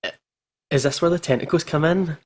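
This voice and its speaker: A man's voice: a little high, brisk. A man hosting a gaming channel, chatting casually as he plays. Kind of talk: casual playthrough chat.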